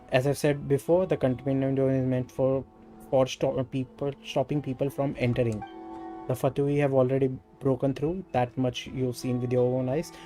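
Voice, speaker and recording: An Indian-accented man, a native Hindi speaker, streams a game in a relaxed, mellow voice. His pitch stays fairly flat and mid-range, and faint music plays behind him. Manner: stumbles over words when reading aloud. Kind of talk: live gameplay stream, reading game dialogue aloud with casual asides.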